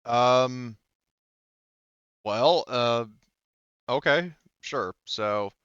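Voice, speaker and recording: A man's voice: medium pitch, very slow, fairly bright tone, clear, resonant tone, no echo. A man talking casually and informally as game master of a tabletop role-playing game, thinking through rules aloud, with slightly muffled audio. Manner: informal, occasionally swearing. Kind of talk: casual game-running chat over rules and dice.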